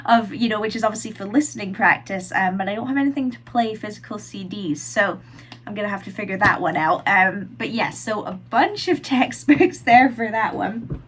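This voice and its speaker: A woman's voice: mid-range voice, slight background noise. A young woman vlogging from her room, chatting casually with plenty of fillers, her nose blocked from a cold. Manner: chatty, lots of "like" and "um". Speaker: vlogger and university student.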